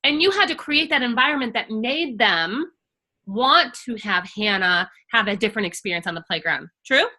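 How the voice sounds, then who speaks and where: Middle-aged woman, bright forceful voice, passionate and emphatic, coachy chat. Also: on the high side, lively intonation, moderate pace. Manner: emphatic, passionate, speaks up for parents. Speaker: IEP coach and workshop host. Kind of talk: casual webinar conversation.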